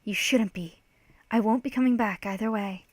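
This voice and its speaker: A woman's voice: a little high, normal speed, no room sound, lightly acted. A woman voicing a brave, hopeful sister in a story, earnest and reassuring. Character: brave, hopeful, prematurely aged sister in isolation.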